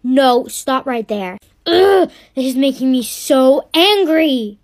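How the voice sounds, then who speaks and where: A woman voicing a moody, quick-tempered pregnant teenage girl in a family comedy skit, snappy and sulky. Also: extremely high pitch. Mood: angry. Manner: snappy and sulky, then panicky.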